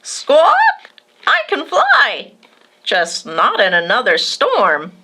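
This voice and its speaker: A woman's voice: a little high, huge pitch swings, very slow, sharp tone, slightly rough, full character voice. A woman voicing a plucky male magical macaw kite in a children's storybook, exclaiming and breaking into squawks. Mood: triumphant, squawking.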